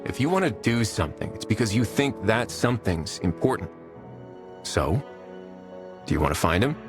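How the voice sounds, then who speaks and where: An actor playing a steady, plainspoken male hero in a video game, calm and direct. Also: lowish voice, normal speed, over music. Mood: calm, supportive. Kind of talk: video game cutscene acting.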